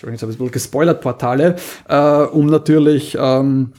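A man's voice: mid-range voice, fairly even intonation, fast talker, mellow. A man with an Austrian accent chatting casually as a podcast co-host, often repeating and restarting his phrases. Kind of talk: casual chat about audio drama series.

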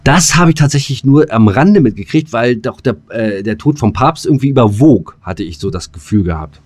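A man's voice: mid-range voice, expressive, brisk, a little mellow, dry recording. A man co-hosting a podcast in casual, blunt, slangy banter that tips into ranting. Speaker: podcast co-host.

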